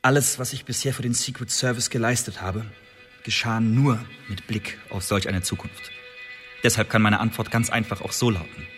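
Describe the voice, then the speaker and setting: An actor in a radio drama playing an impassioned Elizabethan poet and secret agent, speaking eloquently, theatrically and insistently. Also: male voice, lowish voice, fairly even intonation, normal speed, fairly bright tone, lightly acted. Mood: fervent, pleading. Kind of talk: German radio drama acting, monologue-like dialogue. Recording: long reverberant hall, music underneath.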